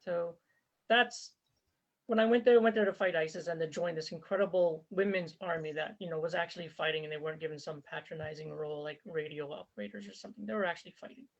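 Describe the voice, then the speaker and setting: A woman's voice: lowish voice, fast talker. Earnest woman on a dull recording. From born in Zambia, raised in Canada. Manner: earnest, conversational, with fillers and restarts. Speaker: interview guest, former volunteer fighter. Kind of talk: casual spoken interview.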